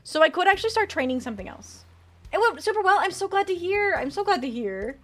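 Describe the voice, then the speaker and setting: A young woman streaming a game, chatting with her viewers and cheering loudly through the races, though her voice is growing worn. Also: very high voice, big pitch swings, fast talker. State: losing her voice. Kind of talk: live game stream commentary with chat.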